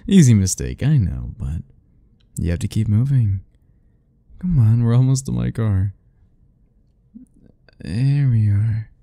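A man voicing a doting, possessive yandere admirer in soft, husky, intimate murmurs for an ASMR romance roleplay. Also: fairly low pitch, very animated, dry recording, clean studio sound, exaggerated voice. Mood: tender, coaxing. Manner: slow, intimate murmuring, coaxing and self-talking.

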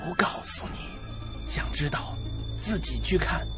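An actor playing a teasing male college student in a radio drama, speaking in a hushed, conspiratorial, taunting way. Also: a little slow, over loud music, lightly acted. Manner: hushed, partly whispered, taunting.

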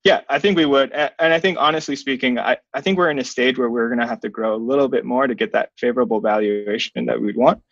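A man in his thirties gives conversational interview answers in a measured, hedging manner. His voice sits at an average male pitch. The sound is dry and slightly dull, as on a video call. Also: a bit bright, dry recording. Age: 30s. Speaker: software company founder, interview guest. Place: small plain indoor room. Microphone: webcam video call, wearing headphones.

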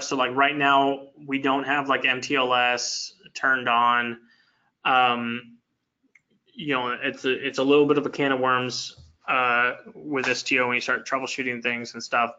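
A man's voice: mid-range voice, bright, forceful tone. A man answering technical questions in a web meeting, rambling with many fillers and restarts. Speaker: software engineer presenting a product. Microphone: video call. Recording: dull lo-fi web meeting audio.

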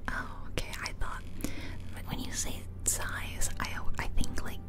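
A woman whispering casual ASMR game commentary close to the microphone, unhurriedly thinking aloud. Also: a little slow. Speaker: ASMR video creator. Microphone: close ear-to-ear ASMR microphone.

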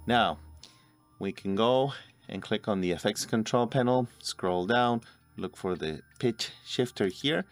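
A man in his forties narrating a video editing tutorial step by step as host. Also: fairly low pitch. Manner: step-by-step, instructional. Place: indoor room at a computer. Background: music.

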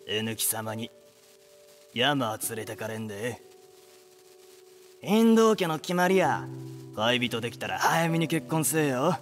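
A man's voice: mid-range voice, very animated, slow pace, pauses often, big-room sound, soft background music. A man playing an easygoing rural father in a voice comic, matter-of-fact and teasing, with a Mie accent. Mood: earnest. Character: easygoing rural father telling a family superstition. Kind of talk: voice comic drama acting.

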